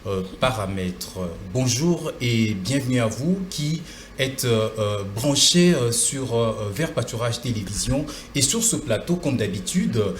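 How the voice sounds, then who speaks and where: A man with a mid-range voice presents a TV studio program. He speaks formally but pauses often on hesitant fillers. Faint ambience hums underneath. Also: medium pitch, lively intonation, a little hiss or hum. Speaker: TV show host.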